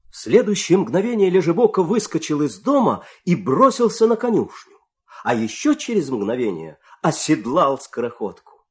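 A man narrating a children's fairy-tale radio play with dramatic, expressive storytelling delivery. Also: fairly high pitch, moderate pace.

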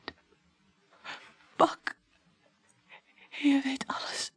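An actress playing a dying hospital patient in radio drama, gasping out broken, repeated words in pain with a weak, breathy whisper. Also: female voice, a bit bright, no room sound. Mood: weak, anguished. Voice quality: breathy whisper, barely voiced. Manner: halting, fragmented, repeating words.

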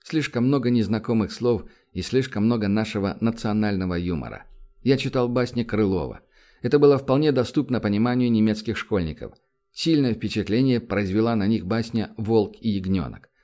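A man's voice: fairly low pitch, brisk, warm tone. A man reading a first-person novel aloud as an audiobook narrator, with a wry, confiding storytelling manner.

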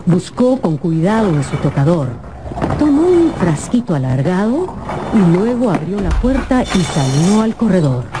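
A woman narrating a scripted radio story in a measured, dramatic storytelling manner. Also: fairly low pitch, sing-song, noisy background.

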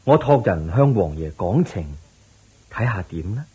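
An actor playing a serene, wise Buddha in a Cantonese radio drama, teaching patiently and solemnly with a Hong Kong accent in drawn-out phrases. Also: male voice, low voice, sing-song, warm tone, breathy edge, heavily acted. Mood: grave, gentle.

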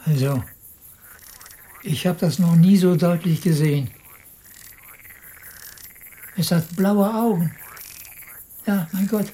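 A country woman muses slowly in a mid-pitched voice. She is full of wonder and stubbornly insistent, in radio-play dialogue with faint music. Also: dark tone. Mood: awed, insistent.

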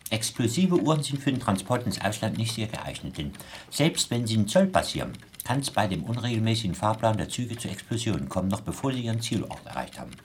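A man's voice: lowish voice, a little hiss or hum. An actor in a German radio play playing a courteous, boastful anarchist bomb maker who savours his words with self-satisfaction.

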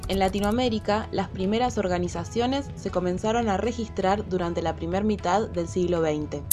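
A woman's voice: medium pitch, moderate pace. A woman reading scripted information in a formal, explanatory way. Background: faint music.

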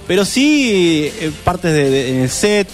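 A man's voice: high-pitched, big pitch swings, unhurried, soft background music. A man talking at length about films on a radio show, often restarting his sentences. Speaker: radio co-host. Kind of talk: casual radio chat on films.

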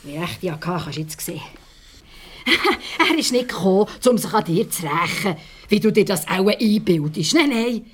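An actress playing a disillusioned, hard-bitten farmer's wife in a radio play, frank and bitter, with a Swiss German accent. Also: female voice, mid-range voice, lively intonation, moderate pace, breathy edge. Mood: bitter, scornful.

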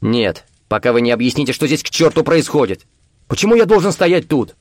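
A man voicing a frantic, exasperated searcher in an audiobook, with urgent, loud and indignant delivery. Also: fairly low pitch, animated, fairly quick, no echo, clean studio sound.

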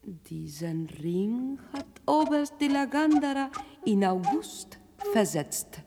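A suspicious pawnbroker, voiced by a woman in a radio play, haggling and ready to threaten. The lines come slowly in a long reverb, with music behind. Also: a little high, expressive. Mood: businesslike, wary.

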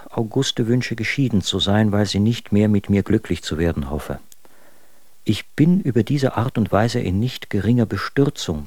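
A man's voice: on the low side, a little mellow, dry recording. An actor playing an aggrieved husband who reads out his letters in a formal, indignant, articulate way in a radio drama.

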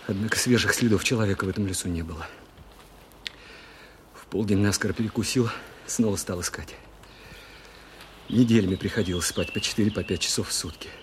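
An actor playing a weary wartime officer, narrating a long search in a radio drama. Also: male voice, on the low side, a little fast, pauses often, a little hiss or hum, natural voice. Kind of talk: first-person dramatic narration, radio play.

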